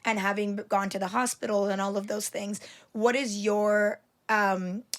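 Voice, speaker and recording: A woman hosts a podcast at a mid pitch. She sounds friendly and admiring. She frames her questions with lots of "like" and "um.". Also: flat delivery, moderate pace. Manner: warm, admiring, hesitant with many "like" and "um". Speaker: podcast host. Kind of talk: casual interview.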